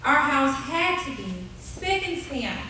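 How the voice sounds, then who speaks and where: A grown woman narrates childhood memories as an actor in a radio play, wry and fairly high-voiced. The live recording is distant and very reverberant. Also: big pitch swings, slow, bright, forceful tone, exaggerated voice. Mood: wry, nostalgic. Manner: wry, storytelling. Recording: echoey live stage recording, distant, noisy.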